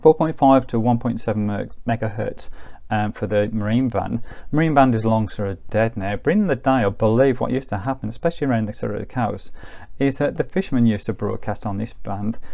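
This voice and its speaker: A man's voice: lowish voice, a little fast, gravelly, hardly any pauses, warm tone. A man giving a casual, unscripted product demonstration as a reviewer, explaining things informally with loose, hedging phrases.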